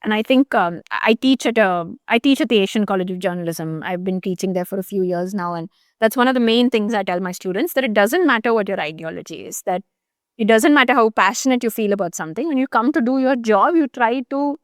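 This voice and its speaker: A woman talks through a complex subject as an interview guest. She thinks aloud, pausing with fillers and restarting her sentences, at a middling pitch. The sound is close and dry. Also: animated, no echo. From Chennai, India. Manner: thoughtful, explanatory, frequent fillers and restarts. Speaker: journalist guest. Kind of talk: conversational interview.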